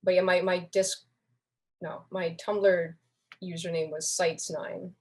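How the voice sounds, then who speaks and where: Young woman, slightly low voice, podcast guest, casual explaining, conversational. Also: level tone, takes it slowly, a little mellow. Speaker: podcast guest, online community moderator.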